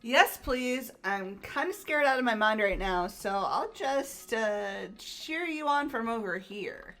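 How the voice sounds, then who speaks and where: A woman's voice: on the high side, animated, slow, bright, forceful tone, exaggerated voice. A woman voicing a bubbly, naive and easily spooked teenage schoolgirl in casual, slangy visual novel game dialogue. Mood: sheepish, scared. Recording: music behind the voice.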